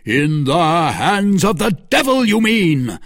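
A man's voice: mid-range voice, big pitch swings, slow, fairly bright tone, slightly rough, no echo, studio quality, pitched above the performer's own voice, heavily acted. A man voicing an imperious, exclamatory English duke, a haughty and defiant nobleman in an audiobook. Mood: haughty, alarmed. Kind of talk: dramatic audiobook character acting.

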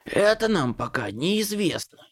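A tense, gruff schemer, voiced by a male audiobook reader. He is sardonic, hissing and grumbling his lines, and his pitch moves in a lively way. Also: unhurried, exaggerated voice. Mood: sceptical.

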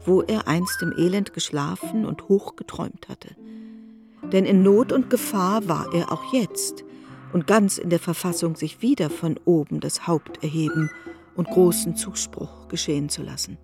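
A woman's voice: lowish voice, moderate pace. A woman narrating a literary work for radio in a composed, formal manner. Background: faint music.

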